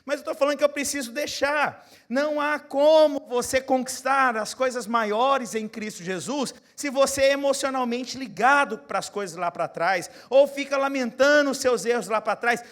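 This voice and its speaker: A Brazilian pastor in his forties preaching a sermon, moving from quiet, close asides to loud, rising exhortation and putting questions straight to his congregation. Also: very high voice, moderate pace, hardly any pauses. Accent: Brazilian Portuguese. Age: 40s. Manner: talks straight to the congregation, asking them questions.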